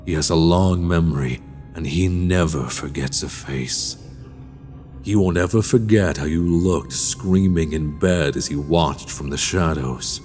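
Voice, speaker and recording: A man playing a sinister horror-story host in an audio drama, narrating in a slow, deliberate, theatrical and menacing way. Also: low voice, mellow. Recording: long reverb, music underneath.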